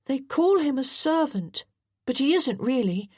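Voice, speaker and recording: Storybook boy, earnest and formal, voiced by a woman, high, bouncy delivery. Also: very animated, unhurried, a little mellow, dry recording, studio quality. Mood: anxious. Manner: precise, formal and proper. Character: eager, earnest well-bred young boy.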